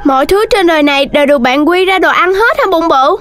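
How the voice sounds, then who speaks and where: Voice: very high voice, animated, fast. An actor voicing a cheeky, teasing young animal child in a children's cartoon. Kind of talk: animated children's series voice acting. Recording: music behind the voice.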